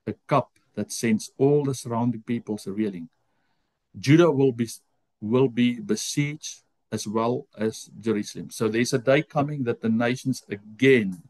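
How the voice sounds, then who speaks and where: A man with an Afrikaans accent, whose first language is Afrikaans, teaching a Bible college lesson over a video call. He reads scripture aloud slowly and often stops to correct himself. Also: mid-range voice, no echo.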